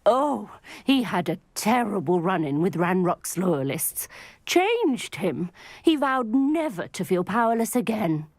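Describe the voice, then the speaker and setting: An actress playing a chatty, worried older village widow in a video game, with a British accent and folksy, gossipy phrasing. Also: female voice, medium pitch, sing-song, measured pace, dry recording. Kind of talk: video game character acting.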